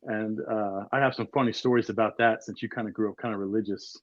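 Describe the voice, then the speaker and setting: A man's voice: on the low side, fairly even intonation, fast, dry recording, highs cut off. Rambling storyteller man in his forties. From Maryland, USA. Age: 40s. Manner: rambling storyteller, many fillers. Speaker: podcast guest. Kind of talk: casual chat.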